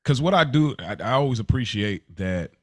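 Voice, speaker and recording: A man's voice: mid-range voice, expressive, moderate pace, dry recording, clean studio sound. An American man co-hosting a podcast in casual, informal and reflective conversation. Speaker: podcast co-host.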